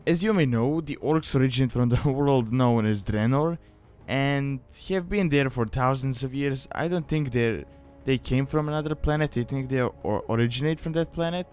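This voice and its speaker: A man's voice: mid-range voice, moderate pace, darkish tone, clean tone. A man giving a casual, conversational explanatory voice-over as the narrator of a guide, often saying "you know". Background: background music.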